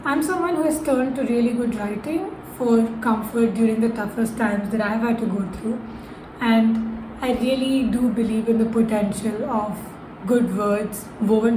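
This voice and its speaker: Female student, young adult, prepared self-introduction, roomy echo and clear background noise. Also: fairly high pitch, steady tone, moderate pace. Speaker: university student, columnist nominee. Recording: echoey room with noticeable noise.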